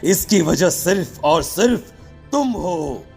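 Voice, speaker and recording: A man playing a stern occult holy man in a horror cartoon, speaking gravely and accusingly. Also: high voice, lively intonation, slow, darkish tone, faint music behind, heavily acted.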